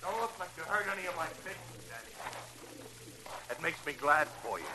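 An actor playing a cornered, unhinged killer in a 1950s radio crime drama, taunting theatrically and repeating himself. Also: male voice, fairly high pitch, big pitch swings, moderate pace. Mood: eerily cajoling. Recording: noisy old radio recording.

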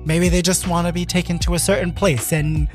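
A man's voice: high-pitched, flat delivery, a little fast. A man hosting a casual music interview in a radio studio, rambling a little and chiming in often. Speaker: radio show host.

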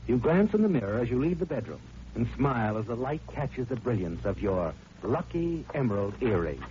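A man's voice: mid-range voice, big pitch swings, fairly quick, natural voice. A man acting as a brooding, accusing narrator in a vintage radio suspense drama, speaking straight to the guilty listener in an insinuating, dramatic way. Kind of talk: 1940s radio suspense narration in second person. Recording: muffled old radio recording with music.

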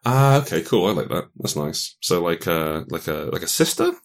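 A man's voice: low-pitched, expressive, moderate pace, gravelly. A man guiding a tabletop roleplaying session, thinking aloud casually and often repeating phrases as he works ideas out. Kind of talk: casual collaborative brainstorming.

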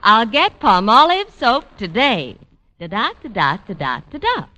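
Old radio ad lady reading a rhyme, bright highish voice, super swoopy. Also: huge pitch swings, unhurried. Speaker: commercial jingle reader. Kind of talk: 1940s-50s radio ad rhyme recital.

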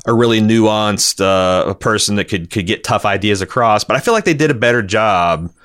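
Low-pitched American man, halting podcast talk. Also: a bit bright, clear, resonant tone. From Indiana, United States. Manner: halting, rambling, candid with swearing. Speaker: podcast co-host. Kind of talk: casual podcast commentary.

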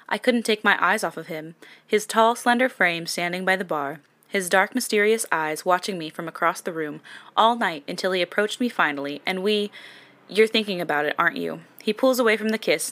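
A woman reads a first-person romance story in a hushed, intimate tone, pausing for self-corrections and laughing asides. Her voice is bright and sharp while sitting a little low in pitch. She sounds like a podcast host sharing a story. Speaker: podcast host reading fan fiction.

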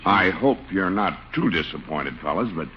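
An actor playing a genial, peacemaking chief with a gravelly voice in old radio comedy style. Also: male voice, deep voice, lively intonation, moderate pace. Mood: placating. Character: genial, peacemaking male police or fire chief. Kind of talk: 1940s-50s radio comedy acting. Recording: dull, faintly noisy old radio recording.

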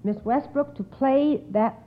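A woman hosting an old-time radio quiz in a formal, measured, encouraging way with precise wording. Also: high voice, a little hiss or hum. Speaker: quiz show host. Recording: tinny, narrow old radio recording.

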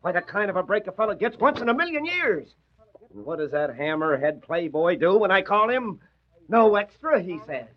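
High-pitched, exasperated newspaper reporter. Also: male voice, big pitch swings, normal speed. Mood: exasperated, indignant. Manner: melodramatic, blustering complaints. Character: excitable, exasperated, wisecracking male newspaper reporter. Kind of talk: 1940s radio acting. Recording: dull old 1940s radio recording.

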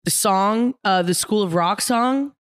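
A young woman with a slightly hoarse, raspy voice, talking nonstop in a casual podcast chat. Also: medium pitch, a little slow, no room sound, studio quality. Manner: talkative, bursts into laughter. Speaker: podcast guest.

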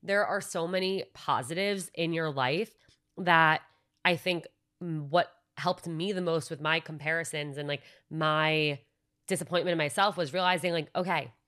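A woman's voice: low voice, normal speed, a bit bright. A young woman co-hosting a podcast, talking in a reflective, reassuring way and building long points in casual chat. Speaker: podcast co-host.

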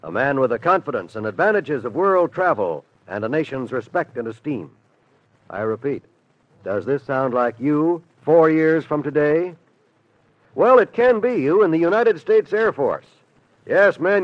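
A man's voice: fairly high pitch, very animated, a little slow, dry recording. A man reading a mid-century radio advertisement as an announcer, with an earnest, emphatic sales delivery. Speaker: commercial announcer. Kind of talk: 1950s radio advertisement read. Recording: dull old dry radio recording.

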